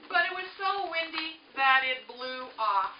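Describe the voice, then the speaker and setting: A woman with a fairly high voice teaches young children, reading a storybook and pausing to explain things simply. She sounds some distance from the mic in an echoey room, with clear background noise. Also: animated. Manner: explains simply, checks with short questions. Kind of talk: picture-book read-aloud with questions to children. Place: echoing room with a small group of children. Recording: echoey, distant, muffled, noisy room recording.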